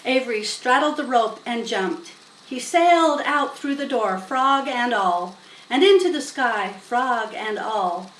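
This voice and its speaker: A woman reading a children's story aloud in an expressive, unhurried way with dramatic emphasis. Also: fairly high pitch. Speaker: storybook reader. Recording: roomy, distant mic with faint noise.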